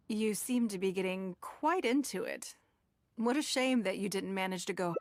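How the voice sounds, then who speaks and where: An actress voicing a composed, shrewd and wealthy woman who hosts an auction in a game, speaking in a measured, faintly amused way. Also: mid-range voice, a little mellow, no echo, lightly acted. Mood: poised. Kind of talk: game voice acting.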